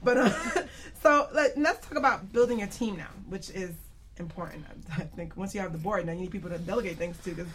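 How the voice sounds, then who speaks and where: A woman's voice: mid-range voice, a little fast, fairly bright tone. Chatty woman hosting, with background noise. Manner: chatty, informal, often laughing. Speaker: radio show host. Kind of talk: casual panel discussion hosting. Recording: clear background noise, room ambience.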